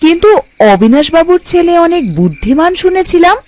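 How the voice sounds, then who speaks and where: A woman's voice: very high voice, big pitch swings, brisk, darkish tone. A woman playing a blunt, anxious village wife in a cartoon, probing and exclamatory. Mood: anxious, questioning. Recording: light background music.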